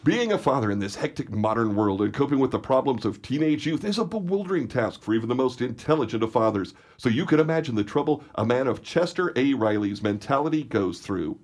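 A man's voice: medium pitch, lively intonation, a little fast, few pauses, music in the background. A man announcing a radio theater show in a scripted, presentational style.

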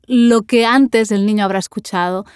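A woman's voice: medium pitch, moderate pace, clear, resonant tone, dry recording, clean studio sound. A woman hosting a podcast interview, engaged and asking follow-up questions.

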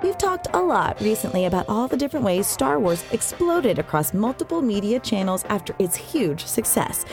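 A woman's voice: a little high, sing-song, moderate pace, few pauses, over music. A woman hosting a podcast, reading scripted narration with wry asides into a close microphone. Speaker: podcast host. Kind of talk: scripted narration of science fiction history.

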